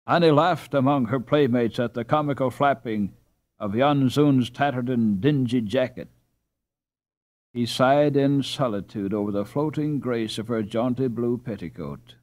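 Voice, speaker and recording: A man narrating an audiobook in an expressive, measured storytelling style with dramatic pauses. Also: medium pitch.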